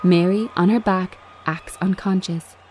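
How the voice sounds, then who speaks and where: Slow, mellow woman reading a screenplay. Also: lowish voice, steady tone, a little hiss or hum. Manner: measured, short declarative phrases. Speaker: screenplay reader. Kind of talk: scripted narration of screen directions.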